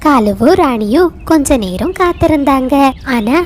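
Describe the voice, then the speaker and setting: A woman narrates a scripted story for children. Her voice is high in pitch, in a storyteller's delivery. Slight noise can be heard in the background. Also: animated, measured pace.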